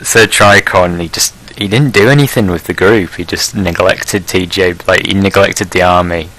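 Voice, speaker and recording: A man's voice: low-pitched, fairly even intonation, normal speed, a bit bright, rough recording. A man chatting casually on a podcast about online gaming groups, rambling with many fillers and restarts. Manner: laughs at his own blunt jokes. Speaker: leader of a Prussian group in an online game.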